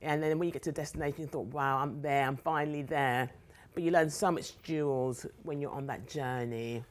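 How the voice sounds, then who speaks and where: A middle-aged woman from South London chats as a guest author, with a London accent. Her voice is a touch low for a woman. She speaks with enthusiasm, doubling up words to stress her points. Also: steady tone, brisk. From South London, England. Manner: enthusiastic, repeats words for emphasis. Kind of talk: casual interview chat.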